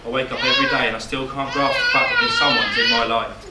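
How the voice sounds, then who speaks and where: A man's voice: medium pitch, huge pitch swings, fast talker. A young man giving his groom's speech at a wedding reception, reading his notes, joking and at times emotional. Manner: emotional, voice catching at moments, joking. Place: wedding reception hall, indoors. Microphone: far camera, no mic. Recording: echoey hall, distant camera, loud noise.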